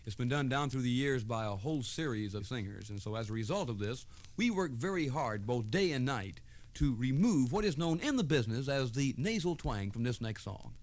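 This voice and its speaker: A man's voice: mid-range voice, lively intonation, fairly quick, darkish tone. A man who sings in a folk group, introducing a number on an old radio show. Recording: old radio recording with music.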